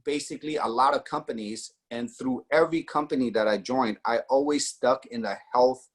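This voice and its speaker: A man's voice: medium pitch, normal speed. A man in his forties from Austria, telling his personal story in a casual way, with many fillers and acted quotes. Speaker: network marketing guest.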